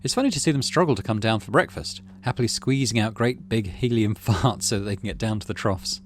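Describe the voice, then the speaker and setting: A man's voice: fairly low pitch, brisk, a little hiss or hum. A man doing let's play commentary, reading story text aloud and muttering through his decisions as he thinks aloud. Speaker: game streamer, let's play host.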